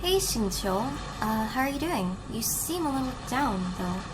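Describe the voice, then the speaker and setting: Chatty young woman over loud music. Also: a little high, very animated, moderate pace, low-quality recording. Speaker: gaming video host. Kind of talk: casual, chatty gameplay commentary. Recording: loud game music behind the voice.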